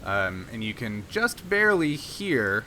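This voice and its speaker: Bright-voiced man running a tabletop game. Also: mid-range voice, big pitch swings, a little hiss or hum. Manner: halting, thinking aloud while explaining rules. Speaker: game master. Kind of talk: narrating a tabletop roleplaying game.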